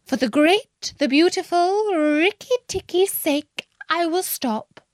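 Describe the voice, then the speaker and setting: A woman voicing an excitable small male tailorbird, high and sing-song. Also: slow, no room sound, studio quality, heavily acted. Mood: flattering.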